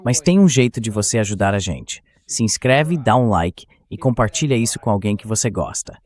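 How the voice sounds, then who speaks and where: Lower-pitched Brazilian male host. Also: lively intonation, normal speed, a little mellow. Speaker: show host. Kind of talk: translated voice-over of talk show hosting.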